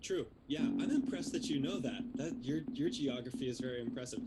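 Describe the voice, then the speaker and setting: A man from Texas, hosting a relaxed interview in a friendly, easygoing way. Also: mid-range voice, brisk, vocal fry. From Texas, USA. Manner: friendly, easygoing, laughs often. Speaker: podcast host. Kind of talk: casual interview chat. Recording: loud ambient and vehicle noise.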